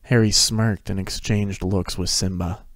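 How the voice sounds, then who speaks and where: A man's voice: sits low, fairly even intonation, measured pace, dry recording, clean studio sound. A man narrating a fan fiction story as a scripted dramatic reading.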